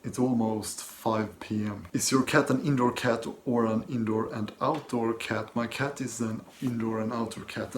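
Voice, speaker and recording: Soft, hesitant Swedish man. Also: medium pitch, slight background noise. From Sweden. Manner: soft-spoken, hesitant, many ums and restarts. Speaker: book channel host. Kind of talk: casual Q&A vlog, reading and answering questions. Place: home room, seated at his desk.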